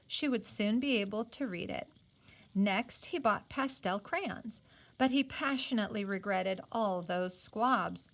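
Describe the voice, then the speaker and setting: A woman with a fairly high voice reads a children's novel aloud. She narrates expressively, in the manner of a teacher reading to a class. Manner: acts out the characters' speech. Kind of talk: expressive storybook read-aloud.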